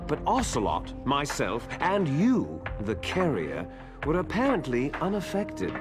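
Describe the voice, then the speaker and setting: Game villain: bitter soldier twin, smug menace, higher-set male voice with dramatic swings, music behind. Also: wildly swooping pitch. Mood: coolly explaining. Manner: theatrical, smug, drawn-out menacing delivery. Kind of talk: dramatic video game cutscene acting.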